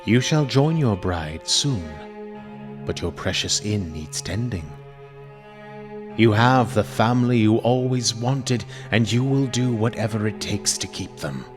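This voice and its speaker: A man giving a theatrical, menacing dramatic reading of horror narration, deliberate and at times dropping into whispers. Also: fairly low pitch. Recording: long reverb over music. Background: music.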